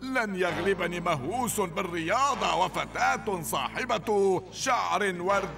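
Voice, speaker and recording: A man's voice: high voice, expressive, unhurried, gravelly, exaggerated voice. An actor playing a vain, scheming male villain in an Arabic cartoon dub, theatrical in his delivery.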